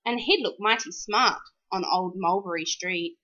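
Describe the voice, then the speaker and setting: A woman reading a rhyming children's storybook aloud in an expressive, animated, sing-song way. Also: medium pitch, a little slow, muffled recording.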